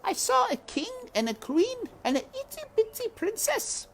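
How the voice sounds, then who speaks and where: Storybook casting: small peasant girl, very high pitch, earnest, naive and hopeful, wind behind. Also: big pitch swings, a little slow, creaky, heavily acted. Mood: wonderstruck. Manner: earnest, uneducated childlike speech. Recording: windy outdoor ambience noise.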